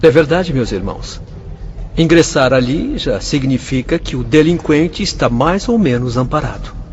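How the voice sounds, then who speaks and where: A man playing a calm spirit doctor. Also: fairly high pitch, lo-fi sound, a little hiss or hum, close to an everyday voice. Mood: explaining. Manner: measured, explanatory. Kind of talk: radio drama acting.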